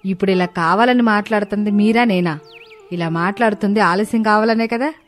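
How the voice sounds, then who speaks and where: A woman's voice: medium pitch, a little fast, lightly acted. A woman playing a suspicious, sarcastic village wife in a story, accusing and nagging.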